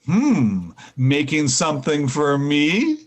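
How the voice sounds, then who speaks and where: A man's voice: on the high side, wildly swooping pitch, slow pace, exaggerated voice. A man playing a breezy, teasing, cheerful husband in 1940s radio comedy style.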